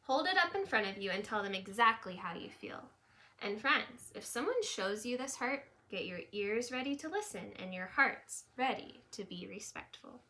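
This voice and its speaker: A woman's voice: mid-range voice, animated, moderate pace, bright, forceful tone. A woman voicing a caring, patient hare schoolteacher in a storybook, encouraging and instructing her class.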